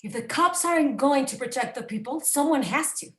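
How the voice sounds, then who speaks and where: An opinionated young teacher played by a woman, high-pitched and emphatic, reading a script in an echoing room. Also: expressive, fairly quick, a bit bright. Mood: admiring. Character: approving schoolteacher in her early thirties. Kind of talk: screenplay table read.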